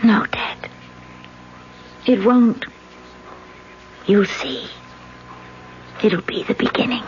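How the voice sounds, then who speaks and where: Actress playing a desperate young wife, hushed half-whisper, slow, dull lo-fi radio sound. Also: female voice, mid-range voice, lively intonation. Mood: pleading, hushed. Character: desperate young wife pleading with her husband. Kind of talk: 1970s radio drama acting. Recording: dull lo-fi radio recording with faint hiss.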